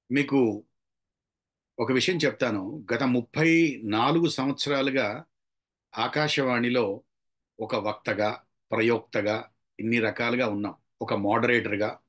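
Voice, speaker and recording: A man's voice: medium pitch, fairly bright tone. Telugu Indian man, emphatic speaking trainer. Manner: instructive, rhetorical questions and emphatic repetition; switches between Telugu and slow deliberate English. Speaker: long-time radio presenter. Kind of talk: motivational online lecture to a group.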